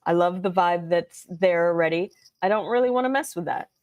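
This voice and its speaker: A woman co-hosting a podcast, talking in a lively, animated way. The recording is dry, with no room sound. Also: lowish voice, fairly quick, dry recording. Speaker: co-host. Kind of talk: casual podcast chat.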